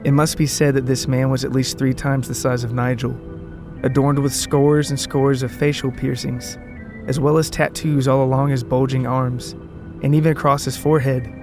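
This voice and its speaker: A man's voice: mid-range voice, little pitch movement, normal speed, over music. A man narrating a scripted comic story as its storyteller.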